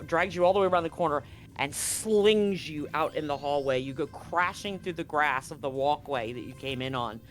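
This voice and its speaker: A man with a slightly high voice runs a tabletop roleplaying game as game master. He improvises narration and talks through rules, with music playing faintly behind him.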